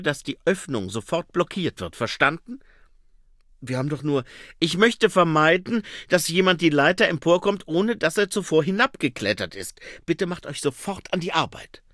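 A man's voice: a little high, animated, moderate pace, a bit bright, no room sound, studio quality, pitched above the performer's own voice. A man reading an audiobook and voicing an earnest young male newspaper editor with firm, stiffly correct phrasing. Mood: stern.

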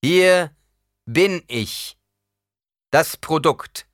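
A man reading an audiobook, voicing a cold, defiant young ruler who talks forcefully and rises into declaiming shouts. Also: mid-range voice, lively intonation, moderate pace, no room sound, clean studio sound, pitched above the performer's own voice, close to an everyday voice. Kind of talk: audiobook character voice.

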